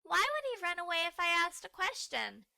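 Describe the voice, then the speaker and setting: Little boy pup, played by a woman, storybook cartoon: naive and blurting, lively pitch, piercingly bright. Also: fairly quick, vocal fry, full character voice. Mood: confused. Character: Winton, naive, earnest young boy cartoon dog.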